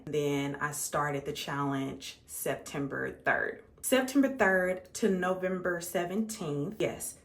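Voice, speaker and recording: A 35-year-old American woman chatting casually and conversationally to her viewers as a makeup vlogger, repeating words for emphasis. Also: sits low. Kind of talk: casual chat to viewers while doing makeup.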